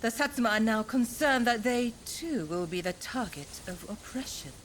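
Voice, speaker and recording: A woman's voice: fairly high pitch, lively intonation, a little hiss or hum, natural voice. A woman playing a poised, formal informant bearing grave news in a video game cutscene. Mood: composed, urgent. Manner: formal, composed, deliberate wording.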